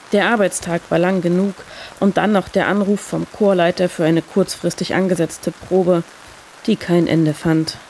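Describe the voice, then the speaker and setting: A woman's voice: fairly low pitch, low-quality recording. A woman narrating a scripted first-person short story as a reader. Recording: steady rain noise behind voice. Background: rain sound effect.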